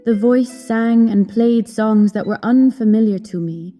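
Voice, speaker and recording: A woman playing a wistful young opera singer who recounts her story in an audio drama, in measured, earnest, literary phrasing. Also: a little high, mellow, close to an everyday voice. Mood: entranced, wistful. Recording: long hall reverb, music behind.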